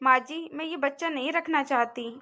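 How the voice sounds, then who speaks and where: A young cartoon daughter-in-law, played by a woman, speaking in a clearly high voice. She is respectful and earnest, pleading her case with a worried air. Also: moderate pace, bright, forceful tone. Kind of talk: cartoon family drama acting.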